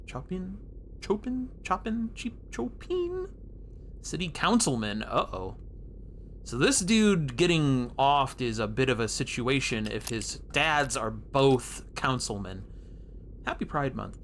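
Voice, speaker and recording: A man's voice: on the high side, a bit bright, a little hiss or hum. A man giving casual playthrough commentary as a game streamer, playfully musing aloud as he goes. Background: game music.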